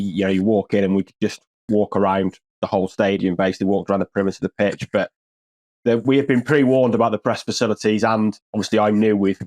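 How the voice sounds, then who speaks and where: A man's voice: on the low side, a little mellow, dry recording. A man with an English accent, a football journalist co-hosting a podcast, talking in a dry, wry, rambling way with lots of restarts. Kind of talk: casual podcast chat.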